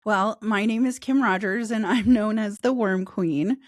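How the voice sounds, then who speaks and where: Raspy woman talking, getting over a cold. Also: medium pitch, measured pace, dry recording, clean studio sound. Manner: candid, talkative storytelling. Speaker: guest. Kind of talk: casual interview chat.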